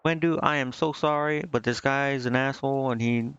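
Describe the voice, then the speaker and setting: A man streaming a video game, casually thinking out loud and reading menu text to himself, with some offhand swearing. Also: mid-range voice, fairly even intonation, brisk, clean tone, highs cut off. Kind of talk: casual live gameplay commentary, muttering and reading menu text aloud.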